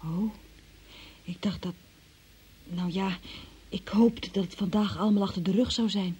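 An actress playing a timid, anxious nineteen-year-old shopgirl in a radio play, hesitant and polite, with a soft breathy voice. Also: medium pitch, fairly even intonation, measured pace. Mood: pleading.

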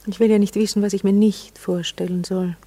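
An actress performs a married lady of good society in a radio drama. Her voice is breathy and husky, in a middle register for a woman. She is wistful and curious, probing gently and often hesitating with genteel restraint. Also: mid-range voice, little pitch movement, a little fast, natural voice. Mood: wistful, lightly ironic. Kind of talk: intimate radio play dialogue.

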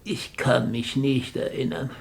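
An actor playing a suave, gallant and evasive swindler with a theatrical manner in a radio play. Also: male voice, medium pitch, fairly even intonation, unhurried, gravelly, husky. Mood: evasive, weary. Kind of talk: German radio play dialogue.

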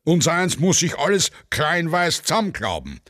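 A man's voice: on the high side, wildly swooping pitch, moderate pace, a bit bright, no echo, studio quality, voice pushed up. A man playing a greedy Austrian general in a satirical radio play, grumbling and self-satisfied in colloquial speech.

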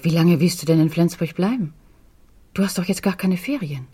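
A woman's voice: fairly low pitch, expressive, normal speed, warm tone, breathy edge. An actress playing a firm, possessive mother in a radio drama, speaking in an insistent, controlled manner. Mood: reproachful. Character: firm, possessive mother pleading with her resistant daughter. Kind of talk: German radio crime drama acting.

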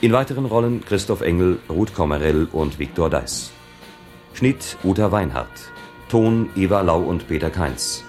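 A man's voice: low voice, animated, a little slow, a little mellow. A man reading radio play closing credits as an announcer, in a formal scripted style. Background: music with guitar.

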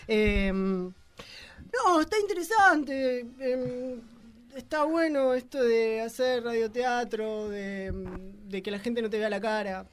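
A man's voice: very high voice, slow pace, smooth. A man with an Argentine accent, a radio play performer giving a hesitant, tentative casual interview.

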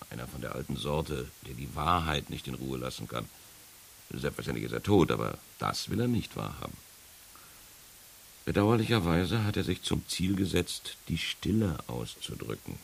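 An actor playing a sardonic guide of the dead in a radio play, speaking in a wry, gravelly, unhurried and instructing way. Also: male voice, very deep voice, expressive, heavily acted. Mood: matter-of-fact. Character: sardonic male guide leading the dead through trials. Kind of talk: 1980s German radio play acting. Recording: hissy early-1980s radio recording.

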